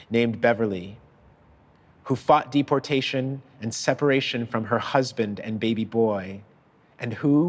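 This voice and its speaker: A man reading a prepared tribute in a measured, deliberate and formal way. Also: mid-range voice. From child of parents from Sierra Leone. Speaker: author giving a tribute. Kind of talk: prepared speech read aloud.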